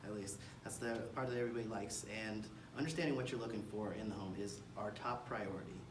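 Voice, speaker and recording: A man with an American accent and a fairly low voice presents a seminar as a real estate agent. He speaks in a halting way with frequent pauses. The recording is roomy and picked up from far off, with clear noise behind him. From Kansas City, United States. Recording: distant mic, roomy echo, clear background noise.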